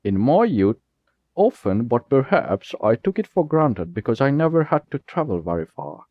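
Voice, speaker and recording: A man's voice: medium pitch, sing-song, normal speed, no echo, pitched above the performer's own voice, natural voice. A man voicing an older, well-dressed male carriage driver who speaks in a genteel, fussy way. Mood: nostalgic. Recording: dull lo-fi recording.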